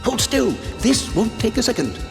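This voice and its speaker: A man's voice: very high-pitched, sing-song, normal speed, creaky, heavily acted. A man playing a clinical, self-absorbed research scientist in a video game, speaking formally and precisely. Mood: intrigued, clinical. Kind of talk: video game cutscene acting. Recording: music behind, long hall reverb.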